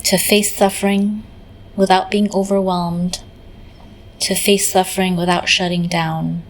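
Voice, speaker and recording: A woman leading a guided meditation in a gentle, unhurried manner, often pausing in the middle of phrases. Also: on the low side, flat delivery, slight background noise. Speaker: meditation teacher.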